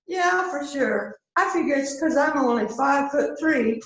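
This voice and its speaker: A woman's voice: high voice, lively intonation, moderate pace. A woman playing a small, mournful young female suspect in an amateur readers theater mystery, in an echoey room. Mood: earnest, mournful.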